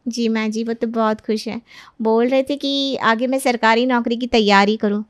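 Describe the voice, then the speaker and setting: A woman's voice: a little high, fairly even intonation, moderate pace, dry recording, clean studio sound, natural voice. A woman voicing a polite, studious young daughter-in-law in a family story, speaking earnestly. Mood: polite, happy. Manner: respectful, earnest.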